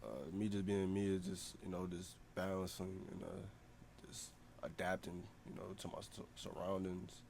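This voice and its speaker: A young man who is a podcast guest, talking casually in a hesitant, halting way. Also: low-pitched, fairly even intonation, creaky, a little mellow, a little hiss or hum. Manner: hesitant, halting, many fillers and restarts.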